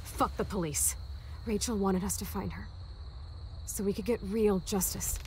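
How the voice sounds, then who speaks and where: A defiant young punk voiced by an actress, blunt and brash, with a vengeful edge in her mid-pitched voice. It is video game acting over faint night sounds. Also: steady tone, a little slow, darkish tone, a little hiss or hum, natural voice, low-quality recording.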